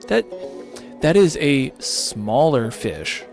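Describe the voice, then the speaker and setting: A tired man whose voice is starting to go hoarse, rambling casually and thinking aloud as he hosts a gaming livestream. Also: medium pitch, sing-song, slow pace, music in the background. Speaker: livestreamer hosting a charity gaming stream. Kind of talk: casual unscripted game-stream chat.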